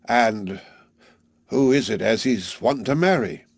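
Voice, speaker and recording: A man reading aloud, voicing an old, lonely village weaver who talks to his daughter in a slow, subdued, tender way. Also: medium pitch, lively intonation, vocal fry, breathy edge, no room sound, clean studio sound, full character voice.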